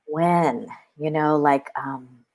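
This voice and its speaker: A woman guest on a podcast, telling stories in a musing, reflective way with animated asides. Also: low-pitched, drawn-out words. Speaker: podcast guest, spiritual companion. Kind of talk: reflective conversation.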